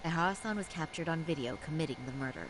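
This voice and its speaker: A woman's voice: low voice, expressive, quick pace, noisy background. An actress playing a resolute woman lawyer in a crime drama game, formal and precise in her delivery. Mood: composed. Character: composed, steely woman lawyer with quiet indignation. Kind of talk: dramatic English game voice acting.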